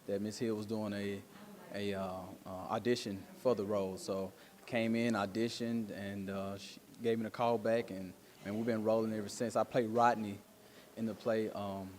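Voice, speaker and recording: A man giving casual interview answers in a halting, earnest, conversational way. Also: lowish voice, level tone, a little mellow, a little hiss or hum. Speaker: stage actor being interviewed.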